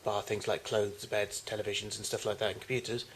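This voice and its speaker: Low-voiced man, flat delivery, noisy home video. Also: a little fast. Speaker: collector giving a tour of his machines. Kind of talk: unscripted home video tour commentary. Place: home garage with loft. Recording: noisy amateur camcorder audio.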